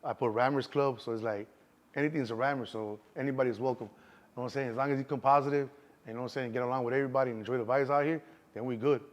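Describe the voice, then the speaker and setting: A man in his thirties with a Chicago accent talks fast and loose as an interview guest. His voice sits at a middle pitch, and he keeps dropping in "you know what I'm saying" as he goes. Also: fairly even intonation. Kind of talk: casual street interview.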